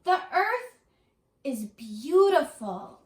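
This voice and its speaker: Woman voicing an eager, high-pitched little boy monster. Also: sing-song, extremely slow, echoey room, a little hiss or hum, exaggerated voice. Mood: excited, amazed. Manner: exclaiming, simple and enthusiastic. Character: cheerful, eager little furry monster, male child-like. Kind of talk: children's picture-book character voice.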